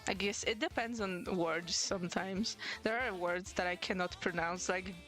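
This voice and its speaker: Young woman, Polish accent mixed with British, lowish voice, chatty livestream talk, faint music behind. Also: steady tone, normal speed, fairly bright tone, poor audio. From Poland. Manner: chatty, laughs often, restarts words. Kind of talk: casual chat while drawing on stream.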